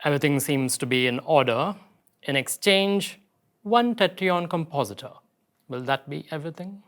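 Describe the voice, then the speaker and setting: A man's voice: a little high, animated, normal speed, fairly bright tone. A man at a table read playing a wary alien outpost merchant with a formal, suspicious, businesslike manner.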